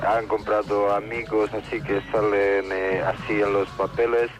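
An actor playing a shady, evasive political fixer with a Buenos Aires accent, chummy and rambling in a radio comedy sketch. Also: male voice, on the low side, little pitch movement, a little slow, over music, close to an everyday voice. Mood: sly, boastful. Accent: Argentine, Buenos Aires. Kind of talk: improvised radio sketch, mock interview. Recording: dull lo-fi phone line.

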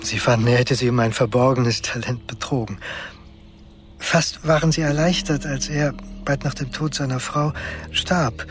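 An actor as a withdrawn, sensitive young man telling his story in a radio play. His mid-range voice is measured and reflective. Music plays beneath it. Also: medium pitch, steady tone, lightly acted. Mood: quiet, melancholic. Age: young adult. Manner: reflective, measured, hushed at times. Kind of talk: literary radio drama narration.

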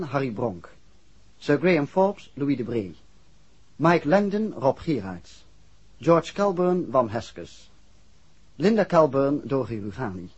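A man reading scripted closing credits as a formal, measured 1960s radio drama announcer. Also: medium pitch, darkish tone, breathy edge. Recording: dull old 1960s radio recording.

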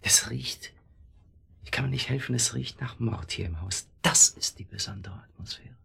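A man acting a middle-aged, irritable and evasive husband in a German radio play, speaking in a sardonic, halting way. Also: medium pitch, gravelly, pushed and bright, husky, whispered, exaggerated voice. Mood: brooding, haunted.

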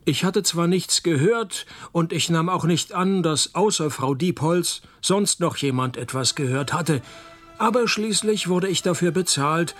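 An actor playing a wry, world-weary private detective in a radio crime comedy, deadpan and sardonic. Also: male voice, on the high side. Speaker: detective and narrator. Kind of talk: radio crime comedy, first-person noir narration.

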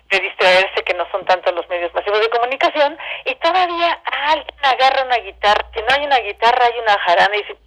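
A Mexican woman explaining things as an interview guest in an expressive, colloquial, storytelling way over a telephone line. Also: medium pitch. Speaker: interview guest, theatre director. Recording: dull telephone-line sound.

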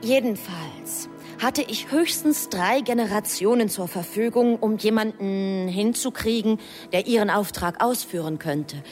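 A woman's voice: mid-range voice, measured pace, a little mellow, lightly acted. Woman acting a precise, businesslike angel agent. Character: efficient, deferential female angel, a subordinate agent reporting. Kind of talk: German radio drama acting. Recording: music behind the voice.

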